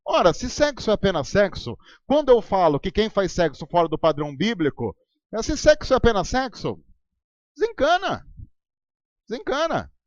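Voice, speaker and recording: A man's voice: high voice, huge pitch swings, moderate pace, dry recording, highs cut off. A man preaching a sermon as pastor in a Brazilian Portuguese accent, talking conversationally with sarcastic asides and ironic voices. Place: church hall.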